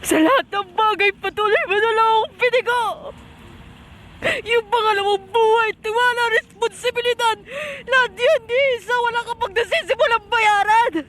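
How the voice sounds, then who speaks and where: A woman playing a desperate young male soldier in an anime fandub, shouting at himself with anguished self-loathing. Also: very high-pitched, moderate pace, slight background noise.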